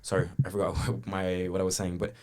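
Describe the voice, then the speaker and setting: A young man from Angola speaks earnestly in a low voice. He explains his point at length in a casual podcast chat and often restarts sentences. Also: completely flat delivery, fast talker, a little hiss or hum. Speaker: podcast co-host. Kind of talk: casual conversation.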